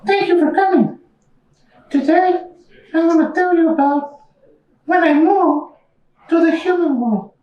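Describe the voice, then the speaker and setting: A woman voicing a young, squeaky monster puppet telling stories in a simple, childlike way at a live puppet show. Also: measured pace, pauses often, dull audio. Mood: friendly. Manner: childlike, simple storytelling to an audience. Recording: echoey room, slightly distant mic.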